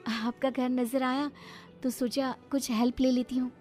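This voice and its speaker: A woman's voice: sits high, level tone, measured pace, a little mellow, faint music behind, pitched above the performer's own voice. A woman voicing a polite, alluring young woman asking for help in a horror cartoon. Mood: polite, pleading.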